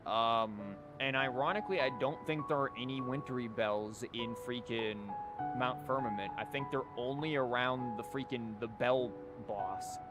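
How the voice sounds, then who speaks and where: Male game streamer, mid-pitched, casual rambling chat with music behind him. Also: moderate pace. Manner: rambling, conversational.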